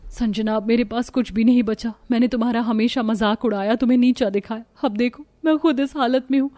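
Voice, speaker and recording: A woman's voice: fairly high pitch, steady tone, brisk, warm tone, lightly acted. A woman voicing a haughty, boastful rich young wife in a moral cartoon story. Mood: remorseful. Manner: scornful, boastful. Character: haughty rich young wife who taunts her poor friend.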